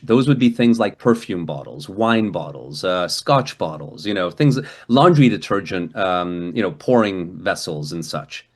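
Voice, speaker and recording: A man from Hungary speaks to a class over a video call, his voice sitting a little low. He talks in a loose, conversational way, restarting his sentences and tagging "right?" onto his points. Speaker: guest speaker. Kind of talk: lecture with Q&A.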